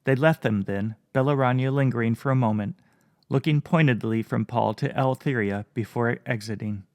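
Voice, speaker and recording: A man narrating a fantasy story in a calm, measured way. His mid-pitched voice has a gravelly texture, and the recording sounds dry and close. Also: dry recording. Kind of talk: audiobook narration.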